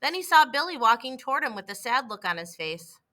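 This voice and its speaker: A woman reads a children's story aloud as narrator, in an expressive, deliberate storytelling manner. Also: high-pitched. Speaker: storybook reader.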